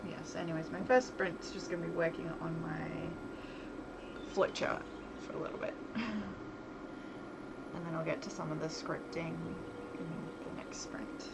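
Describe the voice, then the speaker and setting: A woman's voice: deep voice, flat delivery, normal speed, pauses often. A woman livestreaming casually as she works, thinking aloud in a hesitant way, trailing off and half talking to herself. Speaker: livestream host and visual novel developer. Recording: clearly noisy livestream with constant background ambience.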